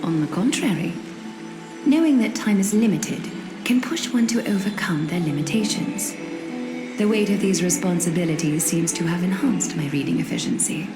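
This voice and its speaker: Woman acting a dignified noble lady, lowish voice, measured and formal, gently wry, video game dialogue, echoing space, music behind. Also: lively intonation, close to an everyday voice. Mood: composed, gracious.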